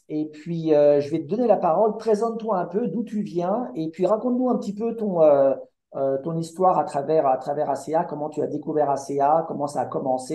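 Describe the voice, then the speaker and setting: A man's voice: on the high side, dark tone. A man hosting an interview, asking casual questions in a friendly, hesitant way with fillers. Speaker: interviewer, host. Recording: roomy echo.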